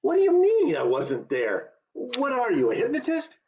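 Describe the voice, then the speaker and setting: A woman's voice: on the high side, huge pitch swings, normal speed, a little mellow, a little hiss or hum. A woman acting a bewildered, agitated everyman in a radio play, stammering and urgent, over a video call. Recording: dull, lo-fi video call audio.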